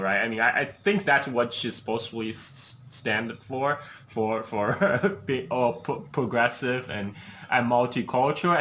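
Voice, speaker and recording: Guy hosting a podcast, bright voice, earnest, stumbles and repeats words a lot. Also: mid-range voice, slight background noise. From China, raised in the United States. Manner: earnest, repeats words when starting sentences. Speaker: podcast host. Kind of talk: casual conversational commentary.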